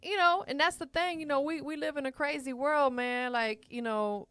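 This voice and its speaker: A woman's voice: sits high, normal speed, fairly bright tone, dry recording. A woman hosting a podcast interview in a studio, chatty and affirming, full of slang. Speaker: podcast host. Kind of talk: casual interview. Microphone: studio mic.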